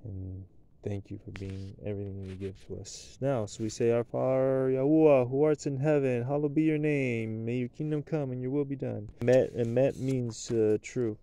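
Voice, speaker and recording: A man's voice: medium pitch, steady tone, normal speed. A man leading Sabbath prayers and blessings outdoors at night, recorded on a handheld camera close by. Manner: prayerful, rising into loud chanted blessings. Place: outdoors at night at a picnic table.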